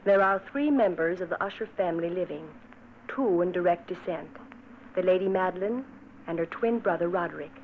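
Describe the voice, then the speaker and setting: A woman playing a gentle, timid young woman in a vintage radio play, speaking softly and solemnly. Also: lowish voice, fairly quick, close to an everyday voice. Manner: soft, solemn and hushed. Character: gentle young woman, timid and dutiful, narrating her fate. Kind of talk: 1940s radio acting. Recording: tinny old radio transcription with faint hiss.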